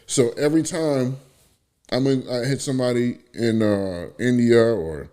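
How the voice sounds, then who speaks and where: A man's voice: mid-range voice, unhurried. A man from the Bronx, hosting a casual podcast chat and telling stories with lots of animation. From the Bronx, New York. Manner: animated storytelling, acts out quoted voices. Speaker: podcast host.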